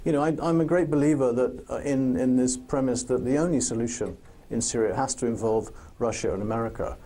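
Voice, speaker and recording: A man speaking as a pundit in a political panel discussion, pausing to think and repeating phrases for emphasis. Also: mid-range voice, dark tone.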